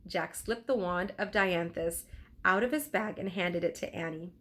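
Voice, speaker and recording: A woman narrating a children's storybook aloud in an expressive, deliberate storytelling style. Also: fairly low pitch. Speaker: teacher reading aloud.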